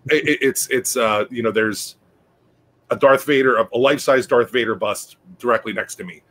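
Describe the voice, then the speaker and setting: Male co-host, bright forceful tone, stammering, crude humor, casual chat. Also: medium pitch, moderate pace. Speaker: podcast co-host.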